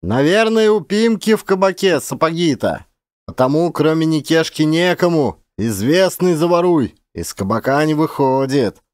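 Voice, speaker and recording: A man voicing an excitable, spiteful peasant neighbour who shouts gleefully, in an audio play. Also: normal speed, no room sound, studio quality, natural voice. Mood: spiteful, shouting. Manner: shouting, high-strung.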